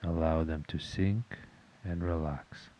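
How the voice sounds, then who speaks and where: Man, meditation guide, very low voice, slow pace, calm and measured, slightly dull recording.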